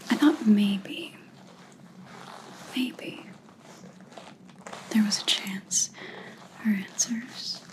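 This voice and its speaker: A woman playing a cold, menacing vampire hunter in a whispered ASMR roleplay, speaking slowly and deliberately with quiet threat. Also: medium pitch, poor audio. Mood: bitter, resolute.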